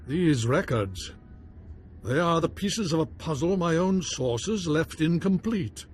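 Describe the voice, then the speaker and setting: Haughty baron, lively intonation. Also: male voice, fairly high pitch, takes it slowly, soft background music. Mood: haughty, guarded. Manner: formal, pompous, dismissive. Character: haughty, cautious nobleman baron, dismissive husband. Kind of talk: scripted video game acting.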